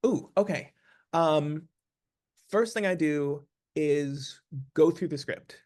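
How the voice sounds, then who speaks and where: A man with a somewhat higher-pitched voice talks as an actor in an interview. He explains in a conversational style, thoughtfully and deliberately, laying things out point by point. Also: a little mellow.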